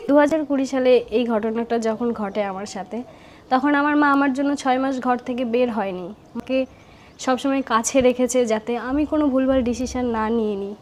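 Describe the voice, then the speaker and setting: A woman with a fairly high voice gives an earnest personal account. There is faint noise behind her.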